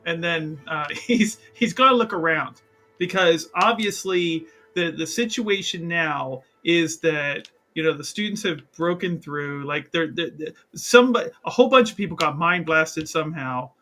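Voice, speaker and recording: A man casually narrating his character's actions as a tabletop roleplaying player, in an animated, halting, jokey way. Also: on the high side.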